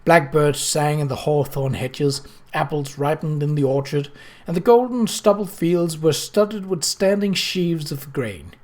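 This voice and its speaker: A narrator reading a novel aloud in an expressive, scripted storytelling style. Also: mid-range voice, a little slow. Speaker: audiobook narrator.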